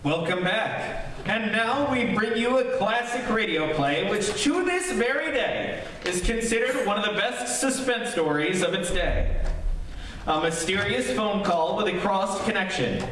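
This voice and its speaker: A man's voice: high voice, animated, a little slow, a bit bright, slight background noise. A man announcing a stage show with scripted introductions. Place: theater stage. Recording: very echoey theater, distant microphone, music.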